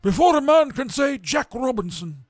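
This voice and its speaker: A man voicing a jolly, fat old male merchant who calls out loudly and heartily in a storybook reading. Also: very high voice, sing-song, moderate pace, dry recording, pitched above the performer's own voice. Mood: jovial, booming. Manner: loud, hearty, calling out.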